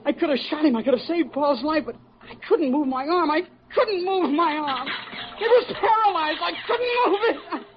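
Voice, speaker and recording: An actor playing a guilt-ridden young war veteran under sedation, halting and repetitive, in a classic radio drama. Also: male voice, very high voice, lively intonation, noisy background. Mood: rising panic. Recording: dull old radio recording with music.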